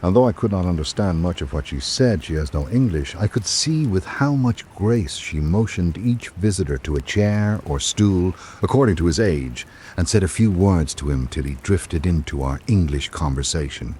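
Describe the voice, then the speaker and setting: An actor playing a reflective literary traveller, narrating a radio drama in a measured, formal way. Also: male voice, sits low, lively intonation, a little mellow, slight background noise. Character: reflective literary traveller recalling island life. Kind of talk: scripted first-person narration.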